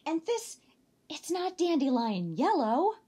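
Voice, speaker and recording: A woman's voice: high voice, sing-song, takes it slowly, mellow, pitched above the performer's own voice, heavily acted. A woman voicing a little girl who loves coloring, in a storybook read-aloud. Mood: delighted.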